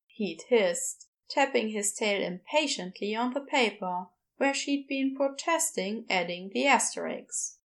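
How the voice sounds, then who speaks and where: A woman's voice: a little high, animated, measured pace, a bit bright. A woman narrating scripted fiction as an audiobook storyteller.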